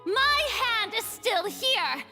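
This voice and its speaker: Here is a theatrical young woman who insists she is divine. Her voice is very high and lively in pitch, pleading and emphatic, with prominent music behind this game drama. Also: slow, full character voice. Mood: indignant, insistent. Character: desperate theatrical young woman claiming to be a god. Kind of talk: dramatic video game voice acting.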